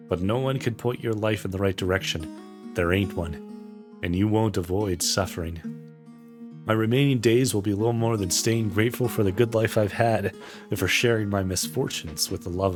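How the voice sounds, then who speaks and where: A man voicing a gentle, resigned, philosophical mother in a visual novel, with a measured, reflective manner. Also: fairly low pitch, soft background music, close to an everyday voice. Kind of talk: visual novel character read aloud.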